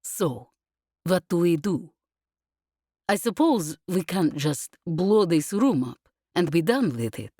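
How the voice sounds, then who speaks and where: A woman voicing a thoughtful female university teacher who speaks slowly and deliberately, in an audiobook. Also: fairly low pitch, sing-song, warm tone, no room sound, clean studio sound, heavily acted. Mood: pondering. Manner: slow, deliberate.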